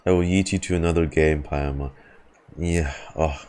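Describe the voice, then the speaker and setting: Very low-voiced, sarcastic woman streamer. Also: steady tone, normal speed, dark tone. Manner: sarcastic, sweary, exasperated banter. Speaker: streamer reacting to a game. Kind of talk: casual live commentary. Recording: game music faintly behind.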